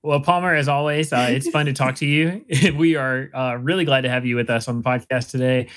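A man's voice: mid-range voice, fairly even intonation, fairly quick, a bit bright, clean tone. A man with an American accent hosting a podcast in casual conversation. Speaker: podcast host. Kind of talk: casual chat.

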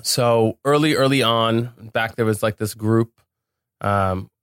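A man's voice: fairly low pitch, slow, a bit bright, clean tone, dry recording. A man speaking casually as an interview guest on a podcast, recorded in a studio.

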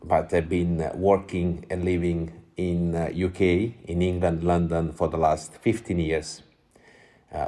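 A man with a very low voice and a clear Italian accent, talking as an interview guest. He is in his forties and tells his story in an easygoing way, scattering "uh" through it and laughing softly. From Italy. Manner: warm storytelling with small laughs and frequent "uh" fillers. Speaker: guest, drinks entrepreneur. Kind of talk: casual interview chat.

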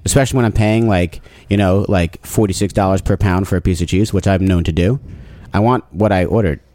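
A man in casual podcast chat, talking with playful enthusiasm and frequent restarts, throwing out shout-outs. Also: low voice, fairly quick, no echo. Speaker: podcast guest, cheese competition organizer.

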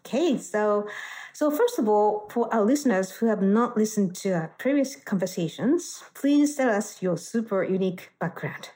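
A woman's voice: medium pitch, animated, normal speed, warm tone. A middle-aged woman hosting a live radio talk show, chatty and laughing easily. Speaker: podcast host.

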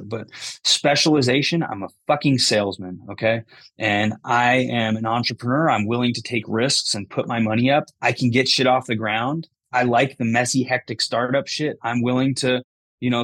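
A man's voice: medium pitch, fairly bright tone, no room sound. A man giving candid, conversational answers as a podcast guest, talking bluntly and swearing casually. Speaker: podcast guest, cannabis entrepreneur.

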